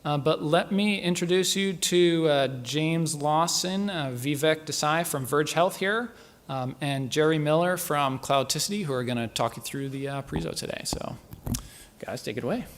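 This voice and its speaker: A man opens a conference session and introduces the speakers. His voice sits a little high for a man, and his delivery is halting and full of fillers. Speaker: session host.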